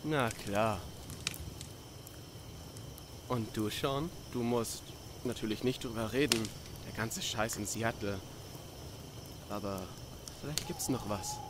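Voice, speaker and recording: A man's voice: medium pitch, expressive, takes it slowly, heavy background noise. A man voicing a laid-back, dreamy young drifter in a video game fan dub, slangy and musing. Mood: gentle probing. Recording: night crickets and outdoor ambience behind. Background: cricket and insect ambience.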